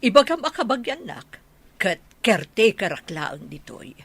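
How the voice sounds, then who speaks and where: A woman's voice: mid-range voice, big pitch swings, moderate pace, gravelly, breathy edge, no echo, heavily acted. An actress with an Ilocano accent playing an older, scheming, insistent mother in a radio drama. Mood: calculating, insistent.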